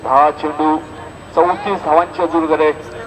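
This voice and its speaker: A man's voice: a little high, level tone, measured pace. A man with a Maharashtrian accent doing live cricket commentary and announcements at an outdoor local tournament, emphatic and repeating the score as he calls the play. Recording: noisy outdoor recording with loud crowd.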